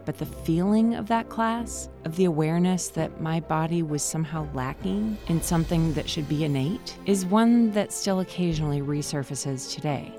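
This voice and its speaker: A woman in her forties, hosting a podcast and narrating a personal story in a calm, reflective way. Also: low-pitched, normal speed, hardly any pauses, faint music behind. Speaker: podcast host.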